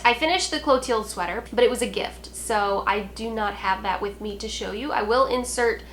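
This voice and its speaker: A woman's voice: mid-range voice, normal speed, fairly bright tone, a little hiss or hum, low-quality recording. A young woman hosting a knitting podcast, talking casually to camera with a congested, nasal voice from a sinus cold, chatty and apologetic with lots of ums.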